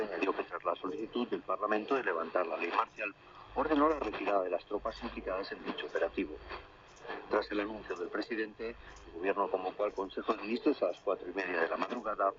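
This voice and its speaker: A male radio newsreader delivers scripted headlines and reports in a formal, measured way. His voice is on the low side. It comes through a dull, lo-fi shortwave reception that makes him sound far off. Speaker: radio news presenter. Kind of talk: scripted news bulletin reading. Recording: muffled shortwave radio reception, distant, crackly.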